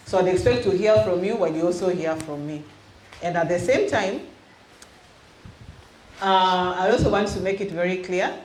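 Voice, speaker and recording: A middle-aged Kenyan woman, originally from Western Kenya, leads a youth session as a minister. She keeps it lively by quizzing the room. Her handheld microphone picks up the echo of a church hall with a faint hiss behind her. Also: lowish voice. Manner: engaging, quizzes the audience, mixes in Swahili. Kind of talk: interactive teaching to a youth group. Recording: echoey hall with faint noise.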